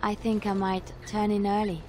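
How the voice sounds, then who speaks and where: An actress playing a gentle, devout young cloister sister in a video game, coy and soft-spoken. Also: female voice, medium pitch, level tone, normal speed, a little hiss or hum, natural voice. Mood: tender. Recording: music underneath. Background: music.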